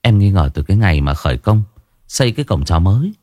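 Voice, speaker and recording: A man voicing a villager who whispers a worried suspicion in a hurried hush. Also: deep voice, big pitch swings, no room sound, clean studio sound. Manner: hushed and hurried.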